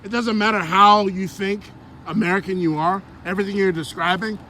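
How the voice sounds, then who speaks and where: A man's voice: sits high, fairly even intonation, brisk, a crowd in the background. A man hosting a street interview outdoors at night, persuasive and lecturing. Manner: persuasive, lecturing, laughs often. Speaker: YouTube channel host interviewing people.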